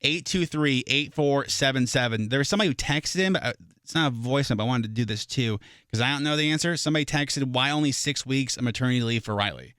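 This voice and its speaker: A man's voice: mid-range voice, fast talker, a bit bright, clean tone, no echo. A man hosting a morning radio show in casual chat, often restarting his sentences, on a close studio mic.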